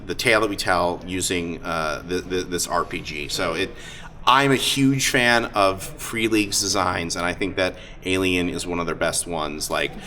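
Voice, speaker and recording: A man hosting a live event as game master, talking off the cuff in a halting way and often repeating words. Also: lowish voice, sharp tone. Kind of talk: unscripted talk to a live audience. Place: busy gaming convention. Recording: live convention hall, heavy crowd noise.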